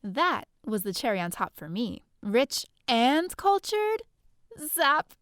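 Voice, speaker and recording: A woman's voice: a little high, sing-song, slow pace, a bit bright, no echo, clean studio sound, exaggerated voice. A woman playing a chatty, bookish young heroine in a space-opera audio drama, theatrical and sardonic, full of casual asides. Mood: gushing. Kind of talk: first-person scripted audio drama narration.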